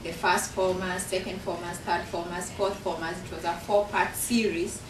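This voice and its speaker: A woman's voice: lowish voice, level tone, normal speed, recorded from a distance, background noise. A woman from Kenya with a Kenyan accent, an author and professor talking earnestly about her book to an audience in a university function room. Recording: echoey room recorded from afar.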